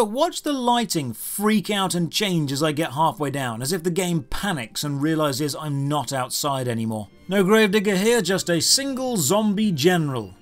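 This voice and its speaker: Sarcastic, animated man with high-pitched voice. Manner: sarcastic, exasperated emphasis. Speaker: video game reviewer. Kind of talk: scripted comedic review narration over gameplay. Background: background music.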